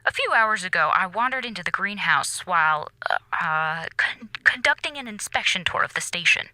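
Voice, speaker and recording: An actress playing an earnest, formal space station commander in an audio drama, serious in manner. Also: female voice, on the low side, animated, normal speed, bright, forceful tone, no echo, poor audio. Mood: uneasy, earnest.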